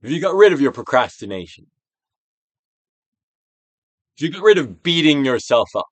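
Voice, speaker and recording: A man's voice: fairly high pitch, big pitch swings, no echo. Male narrator for self-help audiobook: trained stage actor, dramatic and emphatic, wide-ranging intonation, big dynamic contrasts, very dry close sound. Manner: emphatic, drawn-out phrases with big dynamic swells and hushed drops. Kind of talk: theatrical dramatic audiobook reading.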